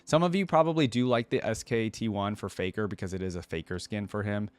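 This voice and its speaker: A man's voice: fairly low pitch, fast, smooth, no room sound. A man hosting a reaction video, commenting casually and thinking aloud in an informal way.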